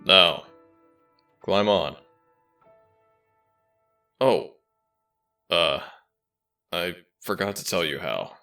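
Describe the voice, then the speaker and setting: Smitten dragon shifter prince played by a man for romantic audio drama: very low, slow delivery, tender and hesitant. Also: pushed and bright, soft background music, full character voice. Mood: caring, encouraging. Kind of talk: ASMR romantic audio roleplay addressed to listener.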